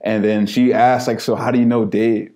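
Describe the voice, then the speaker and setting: Laid-back storytelling guy, mellow voice. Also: lowish voice, level tone, moderate pace. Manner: loose storyteller, heavy on "like", laughs as he talks. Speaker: podcast guest. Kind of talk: casual chat.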